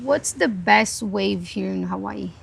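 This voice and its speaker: Gravelly upbeat host from Brazil. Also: female voice, mid-range voice, measured pace, slight background noise. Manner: upbeat, friendly questioning. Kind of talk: podcast host intro and interview. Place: outdoors on a beach. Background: music.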